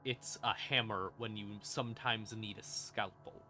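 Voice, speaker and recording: Male lore streamer, unhurried and theatrical, punchy bright tone, informal storytelling with dramatic pauses over faint ambient music. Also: on the low side, fairly even intonation, slight background noise. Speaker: streamer explaining game lore. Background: eerie ambient music.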